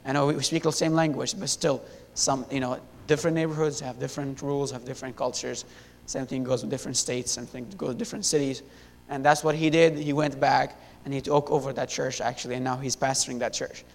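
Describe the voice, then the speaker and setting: A young man in his twenties from Syria, giving a halting church testimony full of fillers and restarts into a handheld mic. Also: fairly even intonation, gravelly. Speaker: missionary. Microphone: handheld wireless mic. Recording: echoey hall, faint rumble.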